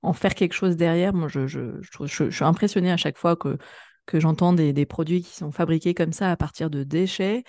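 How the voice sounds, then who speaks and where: A woman's voice: deep voice, a little fast, darkish tone, dry recording, clean studio sound. A woman hosting a podcast interview in a casual, effusive and informal way. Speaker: podcast host interviewing a guest.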